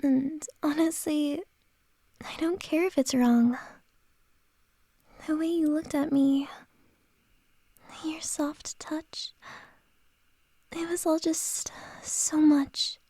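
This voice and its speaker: A woman's voice: high voice, fairly even intonation, dry recording, heavily acted. A woman playing a whispering, flustered lady boss. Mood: tender, flustered. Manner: soft whispering, hesitant and halting. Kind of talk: ASMR roleplay.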